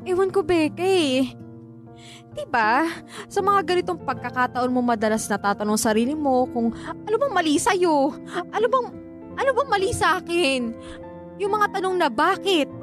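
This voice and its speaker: A woman's voice: very high-pitched, animated, moderate pace, music in the background. A woman playing a dejected, meek young daughter in a radio drama, speaking in a plaintive, listless, self-doubting way. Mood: despairing. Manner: plaintive, listless, soft-hearted. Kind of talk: Filipino radio drama dialogue.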